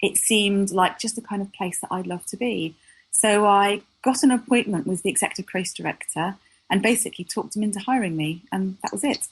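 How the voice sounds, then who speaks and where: A woman's voice: medium pitch, a little fast, slightly rough, rough recording. A woman, a guest telling her career story candidly in a casual interview. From south of England. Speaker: guest, copywriter.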